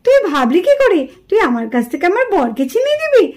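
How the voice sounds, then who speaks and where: Woman as a high-pitched vengeful, scolding wife. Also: sing-song, fast, darkish tone, slight background noise. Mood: indignant. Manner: insistent, scolding. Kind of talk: puppet doll cartoon dubbing.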